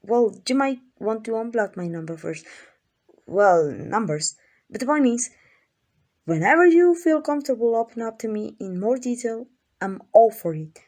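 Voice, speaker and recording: A woman's voice: on the high side, lively intonation, normal speed, darkish tone, lightly acted. A woman playing an obsessive, doting yandere kidnapper in an ASMR roleplay, gushing and coaxing with affection. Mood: earnest, reassuring. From Mexico.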